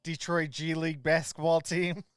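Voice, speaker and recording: Male stream host, lightly raised pitch, dry close sound. Informal, off-the-cuff play-by-play and chat. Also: level tone, moderate pace, no echo. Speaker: live stream host calling a basketball game.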